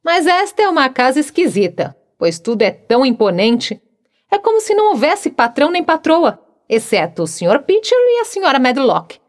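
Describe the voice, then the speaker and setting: A woman's voice: sits high, sing-song, moderate pace, voice pushed up. Woman doing a frank cheerful young maid. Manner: frank, cheerful, matter-of-fact. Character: good-humored plainspoken young housemaid.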